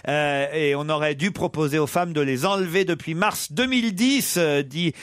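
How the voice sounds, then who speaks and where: A man hosting a radio talk show, chatting with a lively panel, often laughing and hesitating as he talks. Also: on the high side, normal speed, dry recording, studio quality. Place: radio studio.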